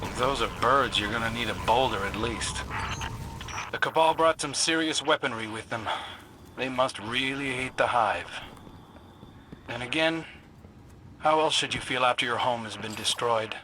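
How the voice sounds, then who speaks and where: Man playing young male scout, wry, conversational, bright tense tone, faint vehicle noise. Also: mid-range voice, normal speed, long pauses, natural voice, poor audio. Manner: conversational, dryly witty.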